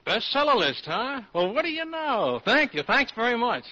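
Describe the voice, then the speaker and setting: Lively male college professor. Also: very high voice, moderate pace. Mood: surprised, pleased. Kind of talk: 1940s radio acting. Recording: dull lo-fi 1940s radio broadcast.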